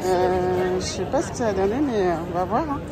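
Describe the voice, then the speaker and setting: A woman's voice: medium pitch, expressive, a little fast, over loud music. A young woman vlogging in a casual, chatty, slangy way while out and about in a city. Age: young adult. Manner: informal, chatty. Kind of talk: casual chat to camera, French slang. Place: out in a city at busy night venues. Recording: noisy outdoor crowd and street ambience.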